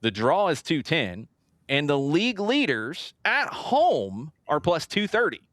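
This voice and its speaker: A man's voice: a little high, very animated, a little slow, fairly bright tone, no room sound. A man hosting a sports show, talking casually through soccer match previews and steering the discussion.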